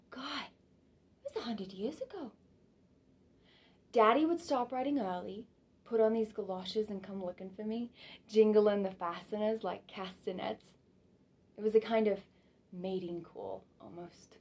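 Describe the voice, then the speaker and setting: A woman performing a stage monologue as a wistful older mother who reminisces romantically, in a dramatic way that moves from hushed to exclaiming. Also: mid-range voice, natural voice.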